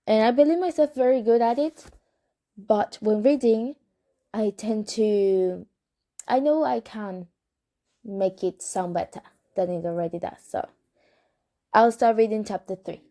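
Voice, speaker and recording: A young woman whose first language is Spanish, reading a novel aloud in English with a Spanish accent and stopping for casual asides, unhurried and correcting herself as she goes. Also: medium pitch, dark tone. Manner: unhurried, hesitant, self-correcting. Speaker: amateur reader of a novel.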